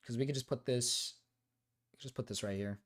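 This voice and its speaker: Young guy streamer, loud and huffy then mumbly, swears a lot, regular pitch. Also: moderate pace. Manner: excitable, swears, swings from loud indignation to mumbling. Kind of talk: casual reaction stream chat.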